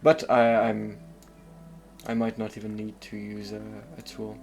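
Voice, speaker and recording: A man with a French accent talks through a game at a calm, even pitch that barely rises or falls. He drifts between stories and puzzles, pausing on fillers and half-finished thoughts, with faint music underneath. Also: vocal fry. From southern suburbs of Paris, France. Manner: hesitant, thinking aloud with many fillers. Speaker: streamer. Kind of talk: casual gameplay commentary.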